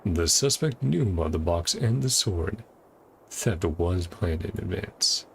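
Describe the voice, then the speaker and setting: A man's voice: low-pitched, lively intonation, unhurried, vocal fry, slight background noise. A man streaming a game playthrough, reading dialogue aloud and commenting casually in offhand fragments. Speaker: gaming streamer.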